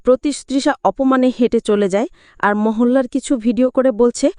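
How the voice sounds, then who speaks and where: Female movie-recap narrator, smooth and resonant, dry studio sound, dramatic scripted storytelling. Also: on the high side, normal speed, mellow, no room sound.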